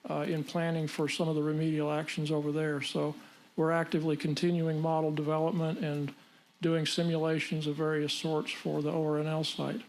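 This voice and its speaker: A man answering questions at a public meeting in a measured, factual way. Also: on the high side, slight background noise, low-quality recording. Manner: measured, factual, flat delivery. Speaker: technical staff answering questions.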